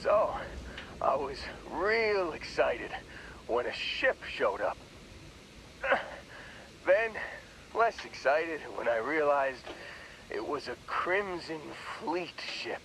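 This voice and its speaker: An actor playing a groggy young space miner in a video game, talking in a wry, rambling, hesitant way. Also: male voice, fairly high pitch, big pitch swings, slow, long pauses, fairly bright tone, slight background noise, exaggerated voice, poor audio. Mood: sheepish, wry. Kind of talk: video game character dialogue.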